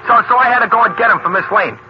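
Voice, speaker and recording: Actor as a high, fast-talking, excitable cabbie sidekick. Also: male voice, close to an everyday voice. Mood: excited, sheepish. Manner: rambling, repetitive, slangy, ungrammatical. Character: excitable, chatty, good-hearted cab driver sidekick. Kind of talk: 1940s radio comic-relief acting. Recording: muffled, noisy old radio broadcast.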